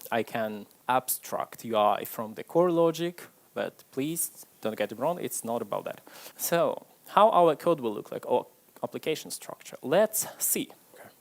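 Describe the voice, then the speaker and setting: A man's voice: mid-range voice, measured pace, creaky. Chatty man giving a talk. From Ukraine. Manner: chatty, self-deprecating, lively. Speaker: conference speaker. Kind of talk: tech conference talk. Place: stage before a live audience.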